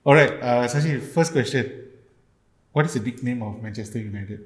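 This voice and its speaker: A male podcast host trades casual football banter. He is jokey and likes to tease. His mid-pitched voice rings in an echoey room. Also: moderate pace. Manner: teasing, jokey.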